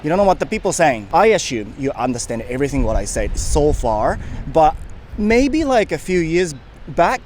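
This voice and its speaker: A man's voice: fairly high pitch, normal speed, slight background noise. A man, interviewing passers-by on a sunny beachfront with a handheld mic. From Japan. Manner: lively, animated questioning. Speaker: street interviewer. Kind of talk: casual street interview. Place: sunny beachfront promenade outdoors.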